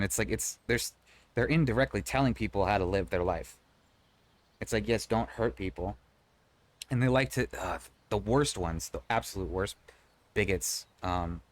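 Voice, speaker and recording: Male streamer, low voice, relaxed rambling chat with viewers. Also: normal speed. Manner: conversational and rambling, frequent restarts, swears casually. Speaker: live streamer. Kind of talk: casual live-stream chat, reading and answering chat.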